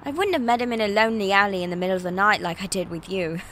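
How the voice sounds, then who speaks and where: A woman's voice: medium pitch, fast, fairly bright tone, slight background noise, close to an everyday voice. An actress playing an eager, nervous young female reporter in an audio drama, chatty and hesitant. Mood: earnest. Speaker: voice actor.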